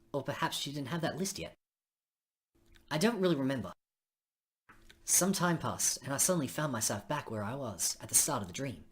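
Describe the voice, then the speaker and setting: A woman with a husky voice reading game dialogue aloud on a stream, with casual asides. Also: extremely low pitch.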